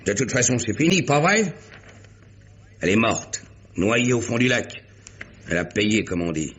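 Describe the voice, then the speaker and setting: An actor playing a brutal, sneering, impatient police lieutenant in a French radio crime drama, with husky, curt, threatening, slangy delivery. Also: male voice, lowish voice, lively intonation, unhurried, fairly bright tone, exaggerated voice. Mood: bitter, impatient. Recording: dull vintage radio recording.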